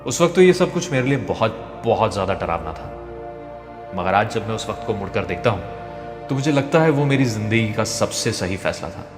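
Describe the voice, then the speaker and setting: Echoey low-voiced man narrating over music. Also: lively intonation, fairly quick. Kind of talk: scripted motivational narration of a translated speech. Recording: echoey, long reverb, music behind. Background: background music.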